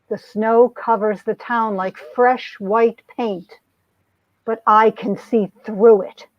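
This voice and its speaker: A woman's voice: medium pitch, dry recording, close to an everyday voice. A woman playing an earnest young small-town heroine telling her story in a romance comic reading, on dull lo-fi audio.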